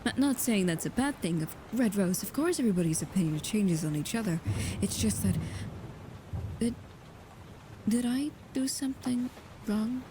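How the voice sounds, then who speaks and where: A woman playing a guarded, protective vampire with a painful past, speaking slowly, softly and hesitantly, often in whispers, in an intimate ASMR roleplay. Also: medium pitch, expressive, slight background noise, lightly acted, rough recording. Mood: gentle, uneasy.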